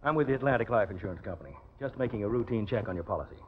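A man plays an offhand, businesslike insurance agent in a vintage radio drama. He talks quickly with a lively rise and fall. The recording is dull and slightly hissy. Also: fairly low pitch, close to an everyday voice. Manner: matter-of-fact, offhand. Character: businesslike, casual male insurance company agent. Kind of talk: old-time radio drama acting.

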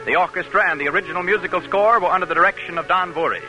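A man's voice: high-pitched, very fast, pushed and bright, rough recording. A man working as a radio announcer, with a formal, polished 1940s delivery. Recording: old radio broadcast with music.

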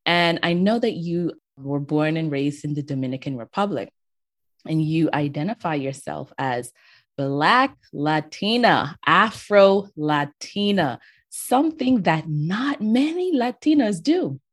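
A woman with a low voice hosts an interview show. She is effusive and leans into her words with emphasis, speaking directly to her guest in an upbeat conversational style. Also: lively intonation, unhurried. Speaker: show host.

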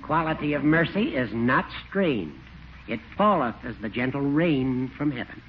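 An actor playing a crotchety old small-town judge in an old radio comedy, theatrical and declamatory. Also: male voice, mid-range voice, expressive, normal speed, natural voice. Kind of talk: 1940s radio sitcom acting. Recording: muffled, noisy old radio recording.

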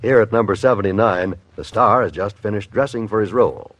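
A man's voice: on the low side, a little fast, no echo, highs cut off. A man announcing a comedy program in 1950s radio style, on a vintage radio recording. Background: music.

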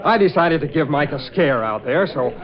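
A man's voice: fairly high pitch, expressive, moderate pace, close to an everyday voice. An actor playing a brash young prankster of a newspaperman, chatty and slangy, in 1940s radio style. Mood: uneasy explaining. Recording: dull old radio recording, chatter behind.

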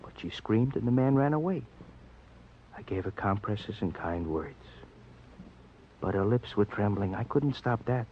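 A solemn, caring city doctor, speaking fairly low and measured with quaintly turned phrasing. It is old radio drama with a muffled sound. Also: male voice, lively intonation, slight background noise. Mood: grave, concerned.

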